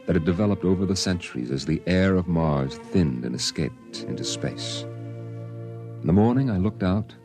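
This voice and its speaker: A man playing a somber storyteller recalling his boyhood, grave and measured, in 1950s radio drama narration. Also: low voice, expressive, vocal fry, music in the background.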